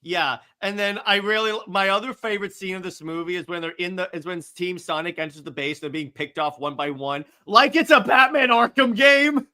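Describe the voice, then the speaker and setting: A man with a high, bright voice talks casually as a podcast co-host. He sounds like an enthusiastic fan, readily agreeing and doubling back on his phrases. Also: normal speed, hardly any pauses.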